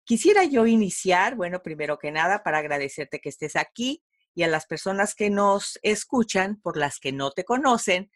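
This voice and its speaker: A woman hosting an online radio interview, speaking in a measured, formal way and asking long, winding questions. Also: fairly low pitch, big pitch swings. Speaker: host and interviewer.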